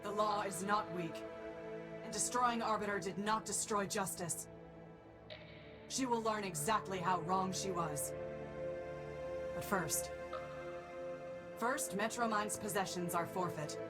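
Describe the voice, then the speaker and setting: A woman voicing a stern, vengeful female robot of law in a video game, speaking in a solemn, formal, deliberate way. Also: medium pitch, natural voice. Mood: grim resolve. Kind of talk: dramatic video game voice acting. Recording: loud music under the voice.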